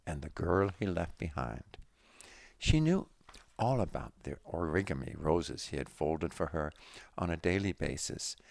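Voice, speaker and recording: A man's voice: deep voice, creaky, no echo. A man reading a story aloud as scripted narration. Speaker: audiobook-style reader of a story.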